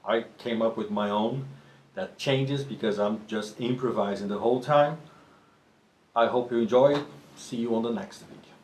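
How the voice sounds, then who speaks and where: A man whose first language is Portuguese, talking about drumming as a teacher in a relaxed, conversational way with frequent restarts. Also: mid-range voice, moderate pace. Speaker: drum teacher. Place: drum studio. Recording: echoey room with faint ambient noise.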